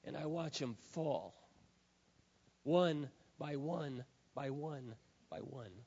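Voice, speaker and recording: A high-voiced man preaches in a large hall, his sound dull and trailing a long reverb. He is hoarse and swings from hushed, earnest pleading to loud, impassioned shouting. He is a pastor delivering a sermon. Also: takes it slowly. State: hoarse, says he has lost his voice.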